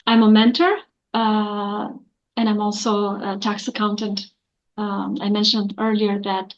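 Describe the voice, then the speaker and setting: A woman's voice: mid-range voice, dull audio. A woman, an accountant answering earnestly in an interview with many fillers. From Albania. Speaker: guest, accountant.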